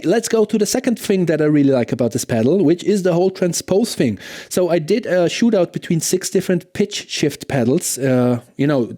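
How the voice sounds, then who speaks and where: A man with a fairly high-set voice and a soft, mellow tone presents a casual gear review. He talks conversationally, like a host walking viewers through a demonstration, and often tags his points with a questioning "right?".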